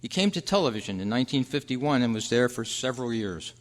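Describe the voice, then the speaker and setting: Male quiz host, mid-pitched voice, reading questions aloud at a club meeting, heard with long hall reverb. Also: lively intonation, fast. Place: indoor meeting room at a convention.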